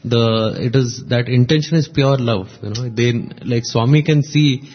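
A man with a husky, mid-range voice speaks as a guest on a devotional radio program. He explains his points thoughtfully and often checks for agreement. The sound comes across dull and lo-fi. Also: medium pitch, normal speed.

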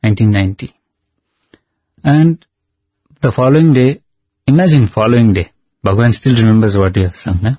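Husky-voiced man, Nepali native speaker. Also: on the low side, a little fast, long pauses, no room sound. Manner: earnest storytelling, breaks into sung lines. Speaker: singer and guest. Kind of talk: devotional interview chat. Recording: slightly dull with faint music.

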